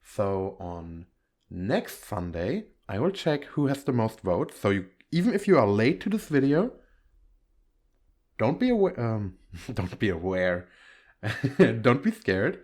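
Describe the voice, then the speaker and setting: A man with a fairly low voice talks casually to his viewers as an audio creator. He rambles in a giggly way and often corrects himself. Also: sing-song, slow pace, a little mellow. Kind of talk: casual outro addressed to viewers.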